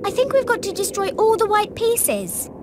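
A woman's voice: very high voice, very animated, moderate pace, background noise. A woman voicing a clever, anxious, rather bossy young schoolgirl witch in a video game.

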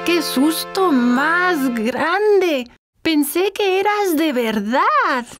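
A performer voicing an excitable, squeamish small child in a children's cartoon dub. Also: extremely high pitch, extremely slow, music in the background, exaggerated voice. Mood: excited, squeamish.

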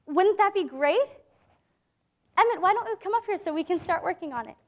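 A woman's voice: very high-pitched, lively intonation, brisk. A young woman acting an idealistic, reassuring teenage girl diarist in a staged dramatic reading. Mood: hopeful, eager. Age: young adult. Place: conference stage before a seated audience. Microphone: lapel mic.

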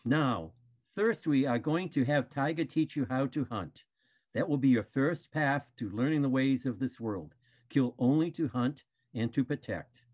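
A wise panda bear from a stage play, acted by a man. The voice sits at a medium pitch and teaches in a measured, patient way. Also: animated, darkish tone. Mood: instructing. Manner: measured, instructive. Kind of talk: theatrical play reading.

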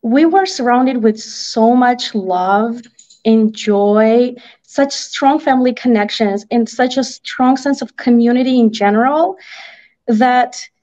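A woman's voice: on the high side, slow, warm tone. A woman in her thirties from Armenia with an Armenian accent, a native Armenian speaker, talking conversationally as an interview guest on a video call. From Armenia (Artsakh). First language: Armenian (Artsakh dialect). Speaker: picture book author, interview guest. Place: indoor room, video call. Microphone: video call microphone. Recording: slightly dull video-call audio.

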